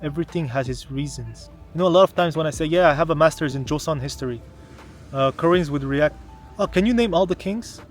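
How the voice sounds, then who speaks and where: A man's voice: fairly high pitch, fairly quick, soft background music. A man from Canada talking to the camera in a casual explanatory vlog, earnest and emphatic, often posing rhetorical questions. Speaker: vlogger, history content creator.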